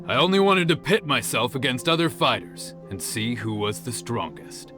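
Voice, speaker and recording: A man's voice: mid-range voice, moderate pace, lightly acted. Earnest, level-headed young fighter for a game, voiced by a man; plain, sincere delivery. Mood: reflective. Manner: calm, plain-spoken, sincere. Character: earnest male tournament fighter, humble. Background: game music.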